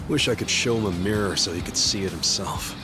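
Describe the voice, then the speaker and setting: An actor voicing a brash, wisecracking young male yakuza buddy in an English game dub, teasing, excitable and swearing freely. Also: low voice, a little fast, close to an everyday voice. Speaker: game character. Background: game soundtrack music.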